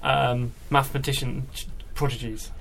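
A man's voice: mid-range voice, fairly even intonation, moderate pace, gravelly, a bit bright. A man hosting a casual, bantering chat with wry, dry humour. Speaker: show host.

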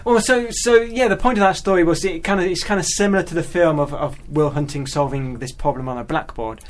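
A man telling stories as a guest in casual conversation, animated and often repeating words as he goes. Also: a little high, a little fast. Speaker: guest mathematician.

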